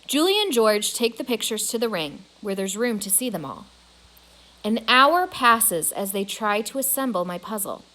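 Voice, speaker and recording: A woman voicing a proud, grumbling male gorilla who narrates his own storybook tale in a measured, deliberate way. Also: a little high, big pitch swings, sharp tone. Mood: observant. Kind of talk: storybook narration in first person.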